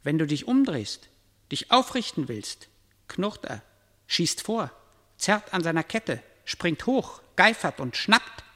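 An actor playing a stern, detached narrating voice in a radio drama, declaiming solemnly in clipped phrases. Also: male voice, sits high, sing-song, takes it slowly, a bit bright, heavily acted. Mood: menacing. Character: stern, detached omniscient male voice addressing the hero. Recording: long echoing hall reverb.

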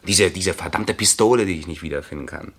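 An actor playing an anxious, suspicious husband in a radio play, brooding and then breaking into agitated outbursts. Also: male voice, low-pitched, fast. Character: tense suspicious husband fearing murder suspicion.

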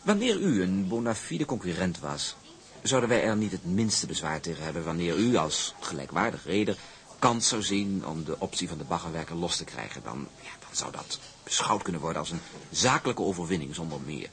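Pompous low-voiced young shipping heir. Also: male voice, expressive, fairly bright tone. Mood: smugly patronising. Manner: pompous, formal, oily politeness with measured hesitations. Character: smug, condescending young male shipping heir and negotiator. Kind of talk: Dutch radio drama acting.

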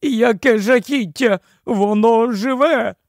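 A man's voice: high voice, expressive, moderate pace, darkish tone, no room sound, clean studio sound, voice pushed up. A man voicing an enormous, fussy giant queen from a storybook, gushing and exclaiming as he goes. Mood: horrified. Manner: exclamatory, cooing, repetitive fussy commands. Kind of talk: acted audiobook storytelling.

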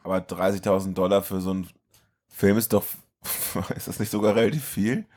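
A man's voice: deep voice, fairly even intonation, a little fast, a little mellow. A man co-hosting a podcast, recapping and chatting about a film in a casual, colloquial way. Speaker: podcast co-host.

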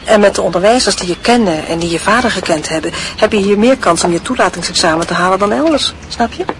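An actress playing a calm, soft-spoken widowed mother in an old radio drama, with a noisy recording. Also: medium pitch, fast talker, close to an everyday voice. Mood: calm, reassuring. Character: widowed mother, gentle, quietly resigned.

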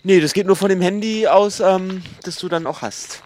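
A man's voice: high voice, moderate pace, darkish tone, slight background noise, close to an everyday voice. A man playing a naive, chatty city man searching for a lost friend in a naturalistic radio play. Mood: explaining.

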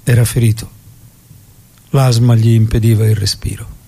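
An actor playing a solemn storyteller, giving scripted dramatic narration in a grave, measured way. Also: male voice, fairly low pitch, level tone, creaky, background noise. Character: solemn male storyteller recounting a revolutionary's death.

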